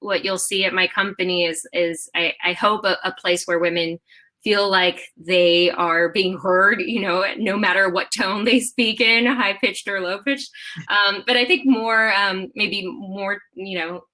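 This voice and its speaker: A woman in her thirties, founder of a company, answering interview questions in an animated way, with many fillers and restarts. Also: mid-range voice, a little slow. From half Japanese. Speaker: founder and CEO of a clothing brand.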